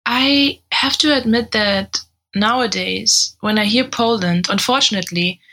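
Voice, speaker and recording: Bright, forceful woman, curious podcast co-host. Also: mid-range voice, unhurried. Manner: curious, prompting questions. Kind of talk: scripted podcast conversation.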